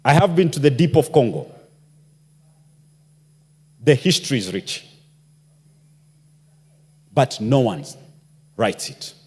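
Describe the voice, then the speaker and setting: A man's voice: medium pitch, big pitch swings, slow, long pauses, a little mellow, slightly rough. Impassioned man in a reverberant chamber. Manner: impassioned, rhetorical questions. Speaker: member of parliament. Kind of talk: parliamentary debate speech. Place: parliamentary chamber. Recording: long reverb of a large chamber.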